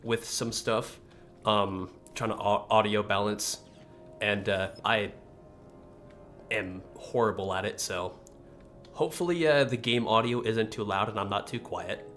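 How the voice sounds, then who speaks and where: A relaxed man talks through a live game stream in a low, easy voice. He sits close to his desk mic in a small room, with faint game music behind him. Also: measured pace. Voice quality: deep voice. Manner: relaxed, chatty, reads chat aloud. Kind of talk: casual live-stream chat. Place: small room facing a wall. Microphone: desk microphone, close.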